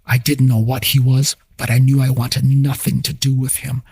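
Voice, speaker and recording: A man's voice: mid-range voice, completely flat delivery, normal speed, vocal fry, darkish tone, slightly rough, dry recording, clean studio sound. A man telling a scripted first-person story as the narrator of an audio drama. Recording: music bed behind voice.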